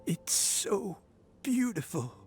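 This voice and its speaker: An actor playing a kindly, sentimental old man in a video game, speaking tenderly and haltingly. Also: high voice, big pitch swings, soft background music, heavily acted. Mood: moved, tender.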